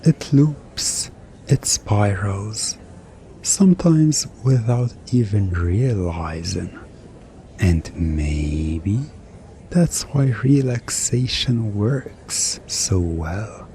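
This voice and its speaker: Very slow, low-voiced soothing male hypnotist played by a man. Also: slight background noise. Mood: musing, soothing. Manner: slow, hushed, lulling delivery with long pauses. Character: soothing male stage hypnotist, calm and gently coaxing. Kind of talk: hypnosis ASMR roleplay with narration.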